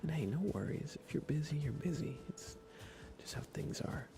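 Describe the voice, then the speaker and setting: A man streaming ASMR in a soft, breathy whisper, chatting casually and gently while he plays a game. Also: a little high, expressive, normal speed. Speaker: livestream host. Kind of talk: ASMR livestream, casual chat while gaming.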